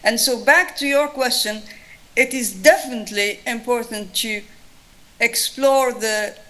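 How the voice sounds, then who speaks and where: A woman's voice: fairly high pitch, a little slow, pushed and bright, poor audio. Tunisian woman, thoughtful lecture answer. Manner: thoughtful, restarts often, many fillers. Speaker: lecturer on Islamic art. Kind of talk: answering an audience question at a lecture.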